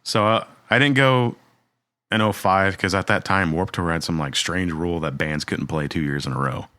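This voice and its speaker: Young male podcast co-host, very low pitch, bright and dry-sounding, relaxed storyteller. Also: moderate pace, dry recording. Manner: relaxed storytelling, many fillers. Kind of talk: casual chat.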